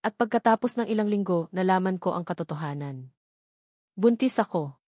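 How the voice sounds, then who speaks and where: A woman's voice: lowish voice, expressive, normal speed, warm tone, dry recording, clean studio sound. A woman with a Filipino accent narrates a scripted first-person romance story in an emotive way, setting short phrases apart for drama.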